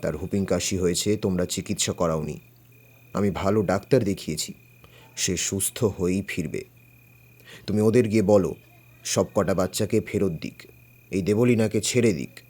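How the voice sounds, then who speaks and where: Deep, husky older detective. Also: male voice, moderate pace, pauses often, a little mellow. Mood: calm, firm. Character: older male detective, calm, shrewd, amused, fearless. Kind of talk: Bengali audio story acting.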